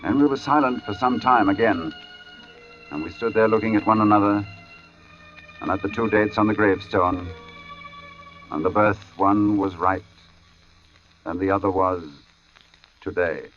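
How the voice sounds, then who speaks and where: An actor playing an uneasy artist who gravely recounts an eerie encounter in a 1940s-style radio drama. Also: male voice, fairly low pitch, moderate pace, long pauses, close to an everyday voice. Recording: dull old radio recording with music.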